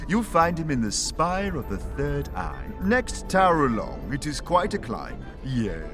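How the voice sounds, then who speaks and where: A man's voice: a little high, wildly swooping pitch, measured pace, pitched above the performer's own voice, heavily acted. A man voicing a flustered, polite two-headed giant guard in an audio drama, dithering and repeating himself over music. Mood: helpful, flustered. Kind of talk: audio drama character acting. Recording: music behind the voice.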